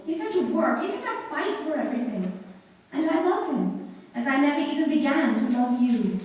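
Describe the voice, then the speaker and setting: A woman acting in a 1940s-style radio drama as a proud, sharp-tongued, theatrical society bride. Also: high voice, big pitch swings, normal speed. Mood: indignant. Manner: haughty, theatrical. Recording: echoey hall from far off, faint noise.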